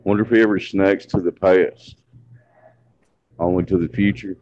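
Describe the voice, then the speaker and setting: A man's voice: low voice, steady tone, normal speed, darkish tone. A man chatting casually in an online group hangout, making jokes and wordplay. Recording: dull lo-fi call audio.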